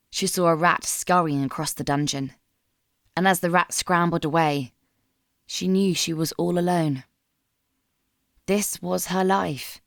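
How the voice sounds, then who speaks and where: A woman narrator reads dramatic fiction in a somber, measured way. Her voice sits a little low, and the recording is dry and close to room-free. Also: no room sound. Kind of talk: dramatic scripted story narration.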